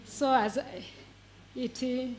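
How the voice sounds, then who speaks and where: A woman with a Tanzanian accent, a native Swahili speaker, giving a prepared talk in halting English and repeating words as she searches for them. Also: sits high, steady tone, slight background noise. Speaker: pre-primary teacher and conference speaker.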